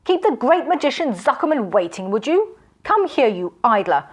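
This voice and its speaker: A woman voicing a wicked male magician who shouts furious, blustering threats. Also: high-pitched, very animated, slow, voice pushed up, exaggerated voice.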